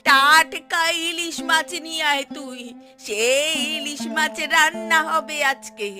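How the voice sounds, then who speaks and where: A woman's voice: high-pitched, slow pace. A woman playing a demanding, self-pitying elderly mother who wails and scolds in a cartoon. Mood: scolding, demanding. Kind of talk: cartoon story dialogue. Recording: music playing behind the voice.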